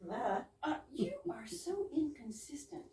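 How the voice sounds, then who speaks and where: A woman acts a huffy, prim older sister in a 1920s stage comedy, heard from a distance in a roomy hall. Her high voice swings widely as she scolds. She sounds exasperated and indignant. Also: sing-song, slow, warm tone, full character voice. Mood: prim, irritated. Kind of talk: amateur stage readers theater, 1920s comedy. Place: live reading in a roomy indoor space. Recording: echoey room, distant mic.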